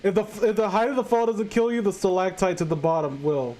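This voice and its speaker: A man reading fan fiction aloud casually, breaking off with sarcastic, halting, sweary commentary. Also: sits high, expressive, mellow.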